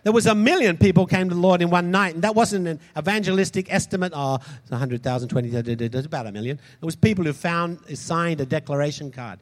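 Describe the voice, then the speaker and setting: A man preaching a sermon, impassioned, swinging between loud shouts and soft, quick asides. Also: on the high side. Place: large hall converted into a church.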